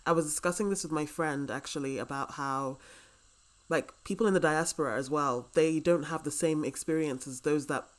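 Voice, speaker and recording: A woman's voice: low voice, moderate pace. A woman with a British accent hosts a podcast interview as a casual chat. She is informal and agreeable, speaking in an easy conversational register. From Britain.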